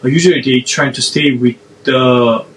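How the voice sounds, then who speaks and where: A man from South Korea with a Korean accent, a podcast guest answering in a halting way and often restarting his phrases. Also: medium pitch, fairly even intonation, a bit bright, distant mic, low-quality recording. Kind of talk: casual interview answers.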